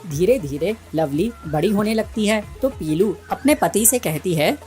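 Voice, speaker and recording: A woman's voice: mid-range voice, animated, a little slow, a little mellow. A woman storyteller reading scripted narration for a children's cartoon. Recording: music bed under voice.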